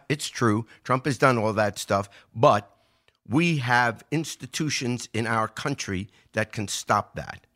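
Bright-voiced American man explaining politics. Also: medium pitch. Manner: explanatory, emphatic, rhetorical questions. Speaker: podcast host giving political commentary. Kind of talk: conversational political commentary.

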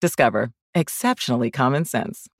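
A woman reading a scripted advert in a mock-serious, deadpan manner. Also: a bass voice, brisk, no room sound, clean studio sound. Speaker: advert announcer. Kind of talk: scripted commercial read.

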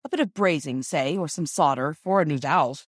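A woman's voice: deep voice, normal speed, dry recording. A woman reader gives voice to a jovial storybook father. He talks in a hearty, encouraging way with wry humour, close and dry in sound. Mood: cheerful.

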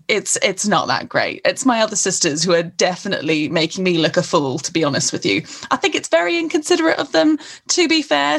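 Lively chatty young woman host. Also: mid-range voice. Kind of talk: casual chat interview.

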